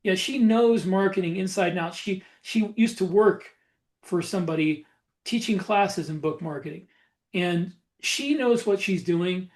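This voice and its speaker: A man's voice: sits high, unhurried, darkish tone. A man co-hosting a podcast, talking shop casually and explaining things with repeated phrases for emphasis. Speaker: author and podcast co-host. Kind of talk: casual shop talk about book publishing.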